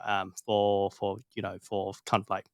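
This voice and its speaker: A man from Hong Kong in his thirties answers haltingly, often pausing to search for words. His voice sits low and sounds smooth, close to the mic. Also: completely flat delivery, no room sound. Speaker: startup cofounder guest. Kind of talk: casual interview talk.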